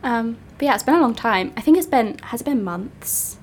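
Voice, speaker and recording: A young woman with a slightly high voice chats on a podcast, bubbly and quick to laugh, stuffed up with a cold. Faint hiss sits under the recording. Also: expressive. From Cornwall, England. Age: young adult. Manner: bubbly, laughs often, lots of "like". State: snotty with a cold. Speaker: podcast co-host. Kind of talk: casual chat. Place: student radio studio.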